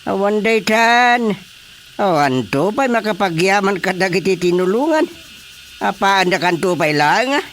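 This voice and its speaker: A man's voice: high-pitched, expressive, unhurried, a bit bright, slight background noise, exaggerated voice. An actor playing a grumbling, bossy older male village chairman in a radio comedy drama. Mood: grumbling, dismissive.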